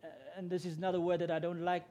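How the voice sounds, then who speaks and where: A man's voice: high-pitched, monotone, very fast. Afrikaans-man preaching. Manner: earnest, grows louder and more emphatic when preaching. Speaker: guest preacher. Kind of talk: sermon.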